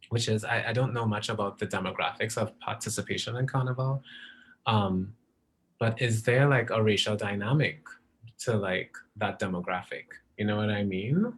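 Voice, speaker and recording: A man's voice: fairly low pitch, fairly bright tone, a little hiss or hum. A man speaking as a panelist in a live discussion, asking questions in a tentative, searching way with many fillers.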